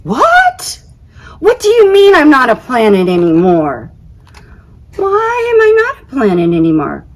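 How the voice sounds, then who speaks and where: A woman plays a little storybook planet, talkative and easily affronted. The delivery is exclamatory and self-conscious, with pitch that leaps about in a very animated way. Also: measured pace, exaggerated voice. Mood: indignant, shocked. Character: Pluto, small excitable, indignant, talkative dwarf planet. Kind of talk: children's picture-book read-aloud.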